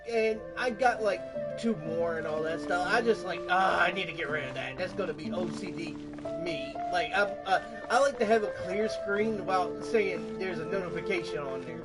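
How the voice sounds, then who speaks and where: A man's voice: high-pitched, moderate pace, low-quality recording. A man giving casual gameplay commentary in a playful, rambling way. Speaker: gaming streamer. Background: loud game music.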